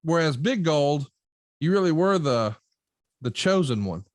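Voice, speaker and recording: A man's voice: fairly high pitch, lively intonation, a little slow, no echo. Dry-sounding male podcast host, wry. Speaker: podcast host reading listener questions. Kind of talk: casual conversational podcast talk.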